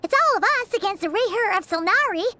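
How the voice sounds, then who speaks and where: A woman voicing a tiny, excitable, cheeky fairy-like girl companion in a video game, with a squeaky childlike voice. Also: fast, full character voice. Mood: indignant, determined. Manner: exclamatory, refers to herself by name. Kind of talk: animated video game voice acting.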